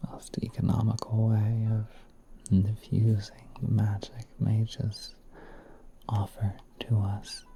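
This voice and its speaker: A man playing a gentle, courteous spider prince in a whispered ASMR roleplay, speaking softly and politely in an unhurried, musing way. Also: fairly low pitch, slight background noise, low-quality recording. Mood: gently musing.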